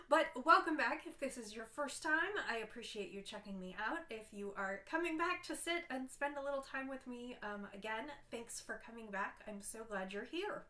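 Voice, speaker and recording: Bright-voiced congested woman podcast host. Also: a little high, expressive, moderate pace, roomy sound. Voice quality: nasal, stuffy. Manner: chatty, with frequent ums. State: congested from a cold. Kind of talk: casual knitting video podcast chat.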